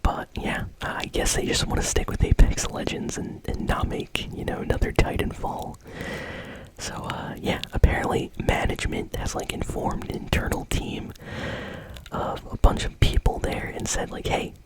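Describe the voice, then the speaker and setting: A host whispering casual, unscripted ASMR gaming news commentary in a breathy, unvoiced voice. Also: moderate pace, poor audio. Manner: casual, unscripted, hesitant phrasing with trailing asides.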